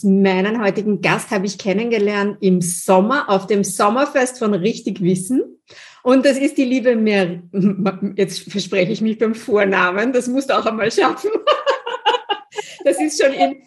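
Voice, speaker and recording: A woman hosting an interview podcast, chatty and laughing often. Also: fairly high pitch. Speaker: podcast host. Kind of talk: casual interview chat.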